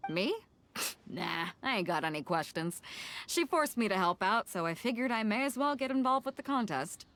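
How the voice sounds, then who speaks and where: A woman's voice: medium pitch, animated, moderate pace, fairly bright tone, a little hiss or hum. A woman playing a brash, easygoing fleet captain in a video game, talking bluntly and casually. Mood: breezy. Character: brash, easygoing female fleet captain, tough and plain-spoken.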